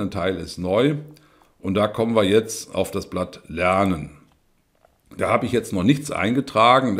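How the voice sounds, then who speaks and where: German man explaining a spreadsheet, low voice. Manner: explanatory, frequent fillers and tag questions. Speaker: instructor. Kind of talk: screencast tutorial.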